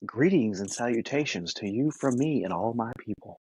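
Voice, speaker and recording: A man's voice: medium pitch, expressive, moderate pace, a little mellow, slightly rough, poor audio. A man co-hosting a casual podcast, tossing in dry wisecracks. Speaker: podcast co-host. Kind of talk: casual banter.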